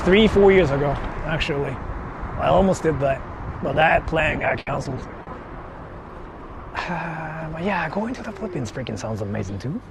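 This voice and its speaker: A man rambles casually to his livestream viewers in a fairly high, animated voice. His delivery is jokey and loose. He is recorded outdoors, with clear traffic and city noise around him. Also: normal speed. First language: Japanese. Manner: jokey, rambling, reading and answering chat. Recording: noisy outdoor street with traffic. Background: cars and urban street noise.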